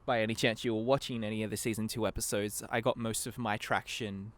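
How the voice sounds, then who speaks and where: A man's voice: fairly low pitch, fairly even intonation, fast talker. A man speaking as a guest in a casual interview, rambling in a self-deprecating way and breaking off mid-thought. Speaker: interview guest, fan abridged-series creator. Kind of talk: casual podcast interview chat.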